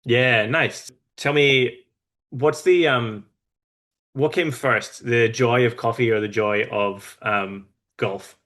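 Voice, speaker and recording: A man hosting a casual interview, asking questions and giving quick easygoing affirmations. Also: medium pitch, fairly even intonation, a bit bright. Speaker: podcast host.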